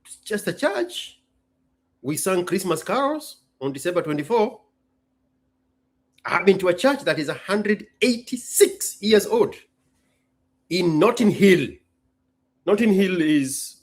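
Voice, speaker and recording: Guy from kenya hosting a casual q&a talk, husky voice, really swoops up and down, emphatic and repeats stuff. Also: on the high side. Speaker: host. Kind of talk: casual solo talk to an audience.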